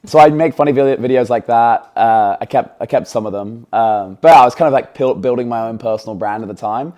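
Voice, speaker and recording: A man's voice: medium pitch, a little fast, dark tone. A man in his twenties, originally from England, speaking with an accent that blends English and American as a guest in a casual interview. He talks in an upbeat, chatty way with lots of fillers. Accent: mix of English and American. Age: 20s.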